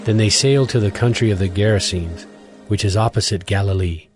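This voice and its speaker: Low-voiced male scripture narrator over music. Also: brisk, darkish tone.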